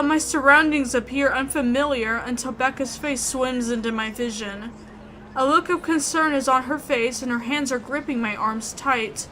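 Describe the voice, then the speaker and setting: A woman narrating a horror visual novel in the first person, reading with expressive, suspenseful delivery. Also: high-pitched, slight background noise, rough recording.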